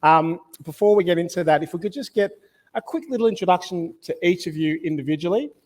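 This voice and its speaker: Man, panel moderator, upbeat, encouraging, somewhat high pitch, conference stage. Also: fast talker, low-quality recording.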